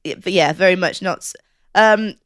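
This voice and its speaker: A woman's voice: fairly low pitch, moderate pace, a bit bright, dry recording. Woman chatting casually, close dry sound. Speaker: podcast co-host. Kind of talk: casual podcast chat.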